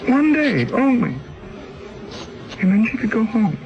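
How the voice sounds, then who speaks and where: A man's voice: very high voice, big pitch swings, unhurried, exaggerated voice. An actor in his twenties playing a young male convict who pleads and stammers in a 1970s radio drama. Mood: despairing. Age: 20s. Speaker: convict in exile. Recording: dull old radio recording.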